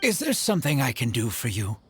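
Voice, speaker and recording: A man playing a gravely ill former desert mercenary, who is sincere and apologetic. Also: medium pitch, wildly swooping pitch, a little fast, soft background music, exaggerated voice. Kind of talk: game voice acting.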